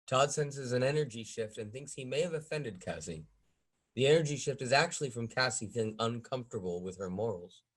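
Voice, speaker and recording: A man reading stage directions as the narrator at a script table read. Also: medium pitch.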